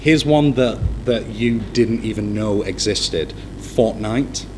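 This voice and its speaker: Relaxed Mancunian man teacher. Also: on the low side, slow pace, noisy background. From Manchester, England. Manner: relaxed, self-deprecating humor. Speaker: English teacher. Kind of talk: casual live chat.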